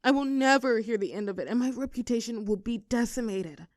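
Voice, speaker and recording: A woman playing a popular high school cheerleader bully with a hidden soft side in an audio roleplay, teasing and sarcastic. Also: expressive, fairly quick, no room sound, studio quality, lightly acted. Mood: shy, confessing. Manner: teasing, sarcastic, with flustered stammers when cornered. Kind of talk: audio roleplay acting, one side of a dialogue.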